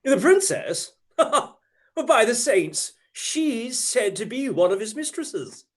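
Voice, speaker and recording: A man's voice: extremely high pitch, very animated, slow, heavily acted. A man playing a bold, teasing, roguish outlaw leader in a radio play, with sardonic courtly manners. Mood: amused. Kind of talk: theatrical radio play acting.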